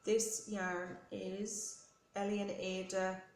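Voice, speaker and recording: A woman's voice: medium pitch, little pitch movement, slow pace, darkish tone. A woman hosting a knitting podcast with a head cold, talking in a friendly, rambling show-and-tell way with many ums and self-corrections. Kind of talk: casual chatty show-and-tell. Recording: roomy echo with faint noise.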